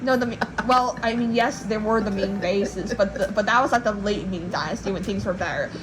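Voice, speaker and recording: A woman's voice: medium pitch, fairly quick, background noise. A woman casually retelling a legend to a co-host in a rambling, informal and playful way. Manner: informal, rambling, playfully acting out sounds and voices. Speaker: podcast host and storyteller.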